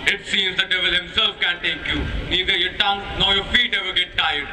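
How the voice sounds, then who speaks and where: A man's voice: high voice, steady tone, brisk, close to an everyday voice. A young man acting an irritable, grumbling wanderer in a school stage comedy. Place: outdoor stage in a school courtyard. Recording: noisy outdoor stage recording.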